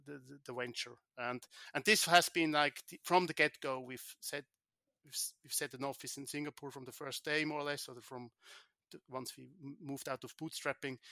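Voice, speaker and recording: A man from Switzerland, an insurance tech founder, answering podcast questions in a halting way with many restarts and repeated words. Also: on the high side, sharp tone, no echo.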